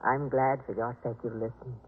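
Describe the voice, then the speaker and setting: An actress playing a kind, composed mistress of a biblical household in a 1950s radio drama, with a gentle, reassuring delivery. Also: female voice, rumbling low, steady tone, moderate pace, slightly rough. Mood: gentle, earnest. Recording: muffled, telephone-like 1950s radio recording.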